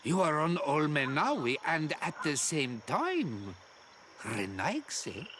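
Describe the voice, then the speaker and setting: A slow, cryptic old hermit woman. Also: low voice, sing-song, background noise, exaggerated voice. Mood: curious, wary. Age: older. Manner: slow, cryptic, musing, halting. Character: enigmatic forgetful old island hermit woman of the marsh. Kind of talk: video game character acting.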